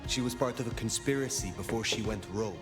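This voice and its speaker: A man acting an aloof, courteous old vampire sheriff in a video game, formal and measured, under loud music. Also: lowish voice, lightly acted. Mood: reserved.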